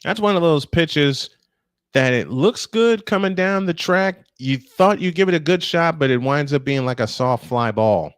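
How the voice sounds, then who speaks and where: A man's voice: on the high side, animated, normal speed, clear, resonant tone, no room sound. Guy doing live baseball play by play, chatty with his own takes, really dry close sound. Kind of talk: live sports commentary.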